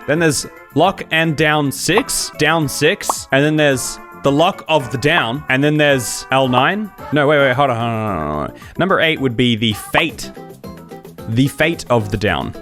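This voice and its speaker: A man's voice: mid-range voice, fairly bright tone. An Australian man hosting a livestream, chatting casually and jokily with viewers in a rambling, self-interrupting way. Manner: jokey, rambling, self-interrupting, often laughing. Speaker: YouTuber and livestream host. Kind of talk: casual chat answering viewer comments.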